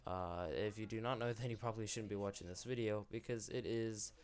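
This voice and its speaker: A man's voice: lowish voice, fairly even intonation, a little fast, a little mellow. A young man hosting an unscripted commentary, rambling and correcting himself, sick and losing his voice. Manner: rambling, self-correcting, candid. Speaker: YouTube channel host.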